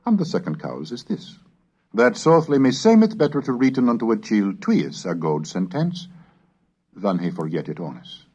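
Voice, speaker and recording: A man reading scripted scholarly material aloud in a deliberate, declamatory manner, like a lecturer on a recorded course. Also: lowish voice, huge pitch swings, darkish tone, husky. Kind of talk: scripted narration and dramatic reading of Middle English texts. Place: recorded narration on cassette. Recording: dull, lo-fi 1970s cassette recording.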